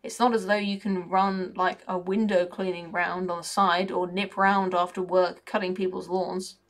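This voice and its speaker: A woman voicing a cynical, chatty male raven who grumbles in rough, colloquial speech. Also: fairly low pitch, level tone, moderate pace, close to an everyday voice. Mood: sardonic.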